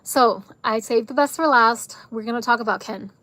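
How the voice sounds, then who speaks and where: Woman, fairly high voice, casual rambling chat, hoarse, lots of fillers. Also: moderate pace, bright, forceful tone, no echo. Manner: chatty, rambling, jumps between tangents; frequent fillers like "like" and "um". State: losing her voice. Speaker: video creator reviewing a film.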